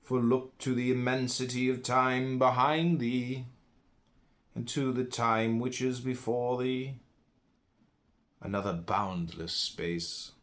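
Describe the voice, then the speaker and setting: A man with a medium-pitched voice reads classical philosophy aloud. His delivery is deliberate and declamatory, setting phrases apart with emphatic pauses. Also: fairly even intonation, fairly bright tone. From United States. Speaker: reader, podcast host.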